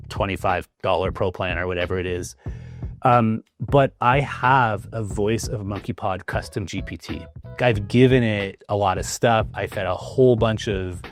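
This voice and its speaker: A man's voice: on the low side, a little hiss or hum. A man hosting a casual business conversation, talking in a loose, chatty way with many fillers and restarts. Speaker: host.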